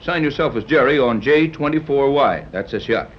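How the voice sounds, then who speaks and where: An actor playing a wry, skeptical sea captain in a 1930s radio serial, from a dull old recording. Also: male voice, medium pitch, lively intonation, close to an everyday voice. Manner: dry, skeptical, brisk.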